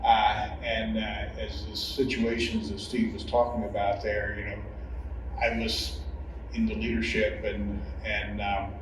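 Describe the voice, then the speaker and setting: Slow, hesitant man with a low voice. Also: monotone. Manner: hesitant, many pauses, humble. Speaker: former church leader. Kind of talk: reflective personal testimony. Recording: echoey room, distant mic, clear noise.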